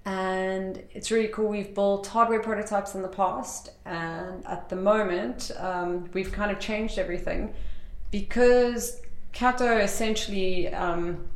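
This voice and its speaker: A woman from South Africa talks through her work as an interview guest, circling back with fillers and restarts. Her voice sits a little low for a woman. The room around her is echoey. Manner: chatty, rambling, many fillers and restarts. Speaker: interview guest, tech entrepreneur. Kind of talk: casual interview talk.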